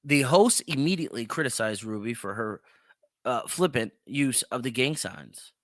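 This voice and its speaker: A man's voice: mid-range voice, lively intonation, moderate pace, a bit bright, no echo, clean studio sound. A man hosting a solo opinion show, speaking bluntly and profanely with mocking, emphatic repetition. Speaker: podcast host.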